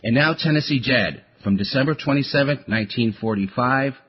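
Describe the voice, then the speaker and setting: A man's voice: medium pitch, brisk, over loud music. A man introducing a radio program as an announcer. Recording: dull, muffled radio audio.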